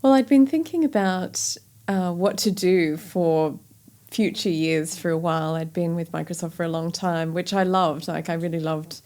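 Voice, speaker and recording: A woman's voice: lowish voice, normal speed, darkish tone. A middle-aged woman with an Australian accent, a company founder talking candidly in an interview, with plenty of "you know" and "like". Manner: chatty and candid, many fillers like "you know" and "like". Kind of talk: reflective interview conversation.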